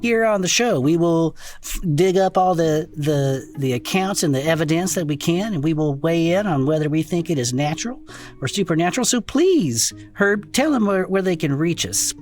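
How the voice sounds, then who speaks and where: A man playing a goofy, skeptical cryptid-show host, with casual, jokey, excitable banter. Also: on the high side, moderate pace, close to an everyday voice. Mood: upbeat, joking. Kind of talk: improvised comedic banter.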